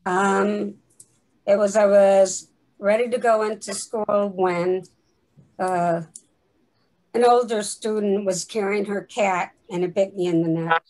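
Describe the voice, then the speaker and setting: A woman's voice: medium pitch, fairly even intonation, slow pace, darkish tone, rough recording. Female group participant, conversational storyteller, video call. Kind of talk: casual personal storytelling.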